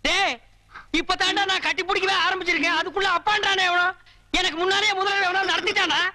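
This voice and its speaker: A man's voice: very high voice, steady tone, fast talker, noisy background. A cynical comic husband. He grumbles and wisecracks with a leering tone, in the broad style of slapstick film comedy. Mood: wry, leering.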